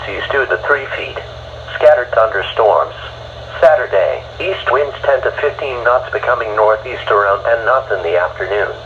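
A synthetic male voice reading an automated marine weather forecast in clipped, evenly paced phrases. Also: on the low side. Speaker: automated weather radio announcer. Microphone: phone recording a radio receiver. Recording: dull phone recording of a radio speaker, faint hiss.

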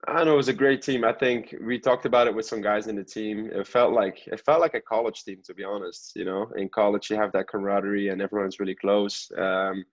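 A man's voice: fairly low pitch, fairly even intonation, fairly quick, hardly any pauses. A man in his twenties from Belgium giving casual interview answers as a soccer player, often hedging with "you know" and "I think". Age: 20s. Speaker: professional soccer player, podcast guest. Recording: echoey room, dull lo-fi sound.

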